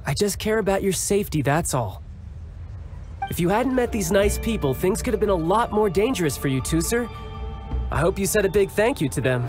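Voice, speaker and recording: A man's voice: sits high, lively intonation, fairly quick. A man voicing a charming, confident young warrior and doting big brother who talks suavely and playfully in a video game. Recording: game audio with loud music.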